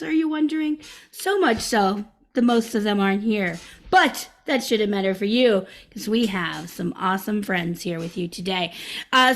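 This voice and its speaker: A woman's voice: high voice, a bit bright. A woman hosting a live online improv comedy show over a video call, upbeat and chatty with many fillers and restarts.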